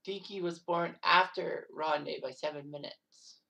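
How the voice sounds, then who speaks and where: Gravelly low-voiced woman, rambling narration. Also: steady tone, measured pace, bright, forceful tone, recorded from a distance, rough recording. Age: 30s. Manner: rambling, with offhand asides and self-corrections. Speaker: sports history narrator. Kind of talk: casual narrated sports history.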